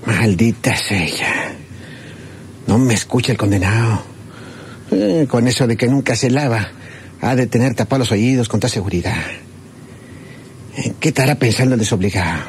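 A man's voice: medium pitch, animated, normal speed, pauses often, lightly acted. A man playing a suspicious, grumbling treasure-hunting outlaw with a rural Mexican accent in a radio serial. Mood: impatient grumbling. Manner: folksy, colourful, grumbling. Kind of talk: old Spanish-language radio serial acting. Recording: noisy old radio drama recording.

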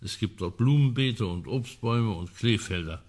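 A man acting a well-informed amateur detective in a radio crime play. His voice sits a little low. He lays out details drily and precisely, with an ironic edge. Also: moderate pace, a little mellow, natural voice. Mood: dryly informative. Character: well-informed male amateur sleuth, dry and matter-of-fact.

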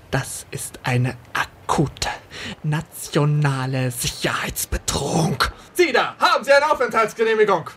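An actor playing an officious, courtly British officer in a comic radio play. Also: male voice, on the high side, a bit bright, a little hiss or hum.

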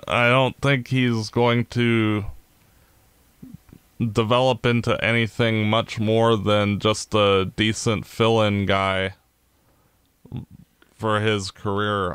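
A Canadian man co-hosting a podcast, talking through the game in a measured, analytical way. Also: on the low side, a bit bright, clean tone, no echo. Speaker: podcast co-host. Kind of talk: casual sports chat.

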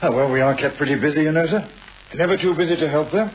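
Police inspector for radio play, played by a man: British, genial, deferential, reassuring, slightly halting, dull old recording with faint hiss. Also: on the high side, close to an everyday voice. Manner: deferential, hesitant, soothing.

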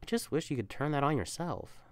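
A woman's voice: sits low, expressive, a little fast, no room sound. A woman voicing an affectionate, protective young catperson comforting a lover in a roleplay audio, tender, coaxing and emphatic. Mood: tender, earnest.

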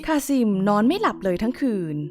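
A woman's voice: on the high side, big pitch swings, fairly quick, a little mellow. A woman reading a scripted fairy tale for children as a storyteller. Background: music.

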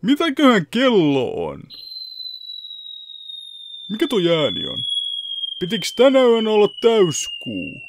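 A man's voice: high voice, wildly swooping pitch, long pauses, very noisy, full character voice. A man playing a cocky, shameless teenage boy swordsman in an anime parody dub, casual and smug. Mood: deadpan.

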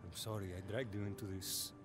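A man's voice: deep voice, little pitch movement, fairly quick, loud music behind the voice, close to an everyday voice. An actor playing a defeated male rebel in a game cutscene, earnest, apologetic and pleading. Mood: guilty, defeated. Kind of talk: video game cutscene acting.

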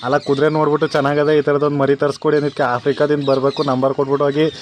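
A man's voice: a little high, completely flat delivery, racing. A man with a rural southern Karnataka Kannada accent making a prank phone call, casual and insistent, pestering with feigned earnestness. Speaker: prank caller. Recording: noisy phone call recording.

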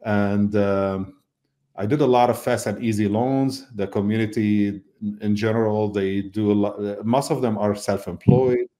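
A man's voice: lowish voice, fairly even intonation, unhurried, warm tone. A middle-aged man from Lebanon, a mortgage business owner, talking casually in an interview over a video call from his office, thoughtful and full of fillers and restarts. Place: indoor office. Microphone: video call webcam mic.

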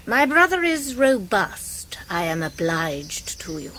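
A woman's voice: mid-range voice, very animated, slow pace, fairly bright tone, slight background noise. A woman playing a cold, disapproving middle-aged spinster in a radio drama, speaking in a stiff, formal way. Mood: icy. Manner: stiff, formal, icily clipped. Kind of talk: 1990s radio drama acting.

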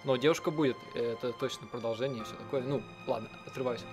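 A man reading out a live translation of a visual novel in a halting, self-correcting way. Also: mid-range voice. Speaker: let's player reading out translations. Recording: music behind the voice. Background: game music.

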